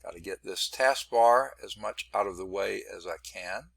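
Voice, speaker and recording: A male math instructor with a hoarse, congested voice that turns gravelly and creaky. His tone is bright and forward, and he rambles on about his screen setup with self-deprecating asides. He comes through a computer mic on a video call. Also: low-pitched, normal speed, no room sound. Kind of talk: online class lecture.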